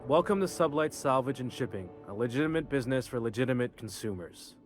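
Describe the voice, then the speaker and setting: A slick, polished receptionist, voiced by a man in a game, with practiced sales patter and a mid-pitched voice. Faint music plays under him. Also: animated, fast, natural voice. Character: smooth male receptionist of a shady salvage firm. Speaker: shop receptionist.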